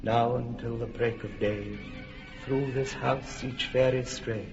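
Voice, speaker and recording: An actor playing a fairy king who chants a slow blessing over the house in a classic radio drama. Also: medium pitch, fairly even intonation, music in the background. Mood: solemn. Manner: slow, incantatory. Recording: dull broadcast, long reverb.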